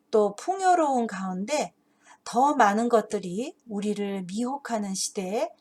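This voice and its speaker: A woman explaining a children's story and its moral as a teacher, earnest and deliberate, phrase by phrase. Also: on the high side, a little mellow. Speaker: host and teacher of an English Bible story channel for parents.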